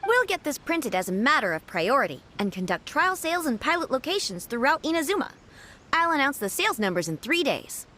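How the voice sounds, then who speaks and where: A woman's voice: high-pitched, very animated, a little fast, bright, forceful tone, heavily acted. A woman voicing a polite, upbeat young clerk running a writing contest in a video game.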